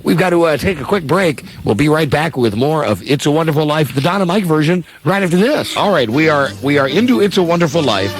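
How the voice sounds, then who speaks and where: A man's voice: on the high side, animated, a little fast, hardly any pauses, soft background music. An American man hosting a radio show with casual, rambling, teasing banter. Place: radio studio.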